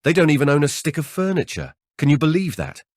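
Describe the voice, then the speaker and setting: A man plays an older father-in-law in a horror audiobook, cautioning and exasperated. The voice is pushed up in pitch and recorded dry and close. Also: sing-song, fast, darkish tone, no room sound. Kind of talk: audiobook character dialogue.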